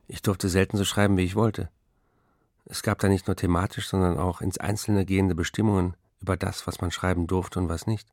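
A man's voice: low voice, flat delivery, fairly quick, darkish tone. An actor playing an embattled novelist in a German radio play, defensive and sardonic, reciting his letters and memories. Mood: bitter reflection.

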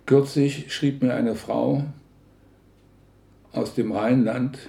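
Older Berlin man, witness recollection: creaky, mellow, halting and reflective, roomy sound. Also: mid-range voice, fairly even intonation. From Berlin, Germany. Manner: halting, reflective, broken phrases. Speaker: contemporary witness recounting family history. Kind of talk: personal spoken recollection.

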